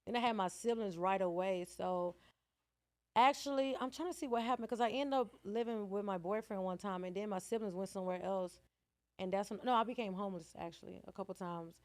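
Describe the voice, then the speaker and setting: Woman around thirty, Texas accent, mid-pitched voice, frank storytelling, slangy and conversational, interview guest. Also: a little fast, darkish tone. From Texas, United States. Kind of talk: candid, casual interview conversation.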